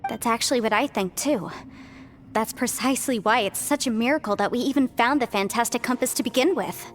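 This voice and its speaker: A clever young game heroine, played by an actress, talks in a high voice. She is an upbeat problem-solver who explains articulately and encouragingly, with music softly behind her. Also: a little fast, lightly acted. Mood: reassuring. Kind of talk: video game voice acting.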